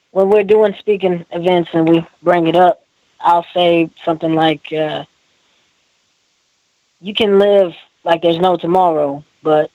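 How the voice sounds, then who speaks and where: A man's voice: a little high, warm tone, dry recording, poor audio. A man from Oklahoma, a rapper being interviewed, talking in a casual, earnest, slangy way. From Oklahoma, United States.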